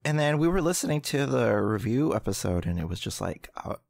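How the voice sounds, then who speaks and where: A man with a bassy voice hosting a podcast, telling stories in a loose, jokey way with some swearing. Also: sing-song, a little fast, creaky, a little mellow, no echo, studio quality. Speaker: podcast host. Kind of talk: casual chat.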